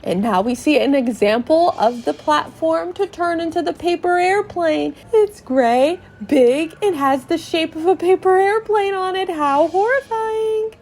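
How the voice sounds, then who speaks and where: A woman podcast host narrating a video game as a dramatic reading, in an expressive, theatrical way. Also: very high voice, unhurried, few pauses.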